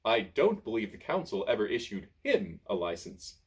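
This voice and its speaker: A man's voice: fairly low pitch, big pitch swings, normal speed, breathy edge, full character voice. A man doing a wry, genial old wizard. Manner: drawling, amused.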